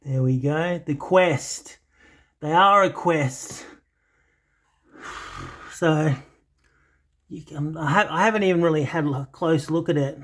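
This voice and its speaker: A man's voice: a little high, takes it slowly, pauses often, fairly bright tone. A man giving a casual, unscripted video walkthrough in a hesitant, rambling way, often correcting himself. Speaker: audio channel host. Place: indoors, small home study.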